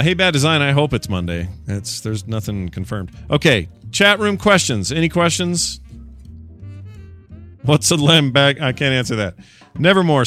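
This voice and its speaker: A man's voice: mid-range voice, a bit bright. A man in his forties hosting a live podcast, joking, laughing often and restarting his sentences. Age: 40s. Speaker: podcast host. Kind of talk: casual live-stream chat.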